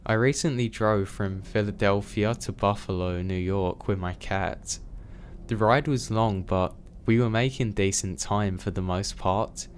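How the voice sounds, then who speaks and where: A man with a fairly low voice narrates scary stories in the first person. His delivery stays steady and even from line to line, with a faint hiss of noise behind him. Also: unhurried. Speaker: storyteller. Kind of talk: reading true scary stories aloud.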